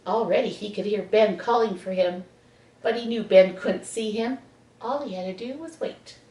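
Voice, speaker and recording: A woman reading a children's bedtime story expressively, with dramatic pauses. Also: mid-range voice, warm tone. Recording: echoey room, distant mic.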